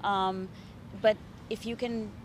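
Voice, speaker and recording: A middle-aged woman from India talks with an American accent, laying out her answers in tidy points. She sounds fluent and sure of her words. Her voice sits at an ordinary middle pitch, and traffic noise from the street is clearly heard behind her. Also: steady tone, slow pace. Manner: fluent, explains in numbered points. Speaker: interpreter. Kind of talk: casual interview answers. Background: passing vehicles.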